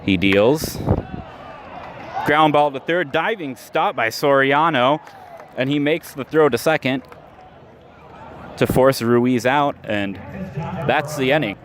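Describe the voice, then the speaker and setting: A man's voice: mid-range voice, lively intonation, takes it slowly, pauses often, crowd noise. A man working as a radio baseball announcer, calling the game live in clipped phrases as the action happens. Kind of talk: live baseball play-by-play commentary. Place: live at an outdoor ballpark.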